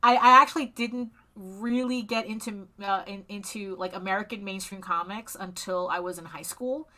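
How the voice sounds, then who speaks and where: Bright-voiced middle-aged woman, halting. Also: mid-range voice, fairly even intonation. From Spain. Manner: halting, with frequent restarts and chuckles. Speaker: comic artist guest. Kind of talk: casual interview chat.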